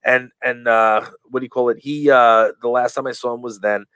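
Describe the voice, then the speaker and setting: A man being interviewed on a podcast, telling stories in a rambling way, full of fillers and restarts, and acting out quoted lines with lively voices. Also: medium pitch, normal speed, smooth, no echo. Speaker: guest, professional wrestler. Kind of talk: casual storytelling in a podcast interview.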